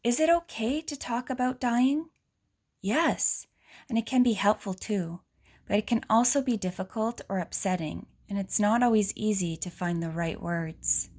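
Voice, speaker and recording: A woman reads a children's picture book aloud in a gentle, explanatory way, asking questions and adding asides for young listeners. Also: medium pitch, moderate pace.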